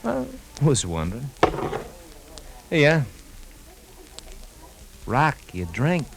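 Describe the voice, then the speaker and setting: An actor playing a chatty, nervous, loyal American barkeep in a 1950s radio drama, talking in a folksy, hesitant and excitable way. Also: male voice, mid-range voice, slow pace, gravelly, background noise, full character voice. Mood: tentative, friendly. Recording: old radio broadcast with music.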